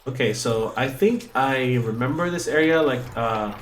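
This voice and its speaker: A man's voice: mid-range voice, expressive, normal speed, slight background noise. A man whose first language is Cebuano, chatting and joking as he streams a game and reads its text aloud. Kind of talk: let's play commentary.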